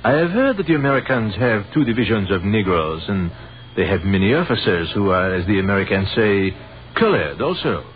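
An actor playing a courteous, philosophical French army captain in a 1930s radio drama, with a French accent and formal, courtly phrasing. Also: male voice, on the low side, lively intonation, a little fast, close to an everyday voice. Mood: earnest, reasoning. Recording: dull, noisy 1930s radio recording.

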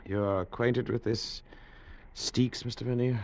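An actor playing a courteous, skeptical colonial district controller, formal and measured, in old radio drama style. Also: male voice, medium pitch, steady tone, darkish tone, natural voice. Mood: coolly skeptical. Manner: measured, formal, politely probing. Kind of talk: 1940s-50s radio drama acting.

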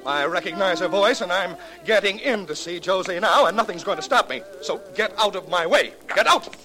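A man's voice: sits high, brisk, sharp tone. A man playing a desperate, insistent businessman in an agitated 1940s radio drama style. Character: desperate, insistent wealthy businessman, lovestruck husband.

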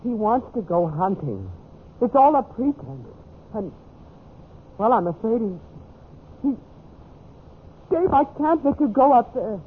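An actress playing an anxious, sharp-tongued wife in a 1950s radio drama, urgent and melodramatic. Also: female voice, a little high, big pitch swings, measured pace, long pauses, breathy edge, narrow, tinny audio, heavily acted. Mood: anxious, pleading. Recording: noisy, band-limited old radio recording.

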